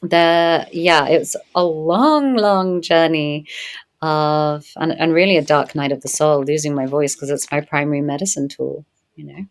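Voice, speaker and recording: A woman speaking as a guest in an interview, reflective and earnest, growing animated when she tells stories. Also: sits low, a little slow. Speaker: podcast guest, medicine woman. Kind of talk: conversational interview.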